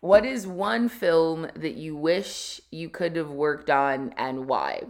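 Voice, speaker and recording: Low-voiced young woman, blunt and laughing. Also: sing-song, slow pace. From Nigeria. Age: 20s. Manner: blunt, swearing, laughs often. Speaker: podcast co-host. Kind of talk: casual chat.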